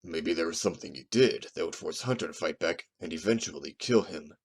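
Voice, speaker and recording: A stern wolf arguing in court, voiced by a man, with a deep voice. He is challenging and deliberate, in the manner of an audio drama. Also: vocal fry, slightly rough, exaggerated voice.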